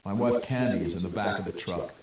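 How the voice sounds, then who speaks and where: A man's voice: sits low, fast, darkish tone, far from the mic, close to an everyday voice. A man voicing a wary, practical father surviving a zombie outbreak in an audiobook story. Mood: grim. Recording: very echoey room, faint noise.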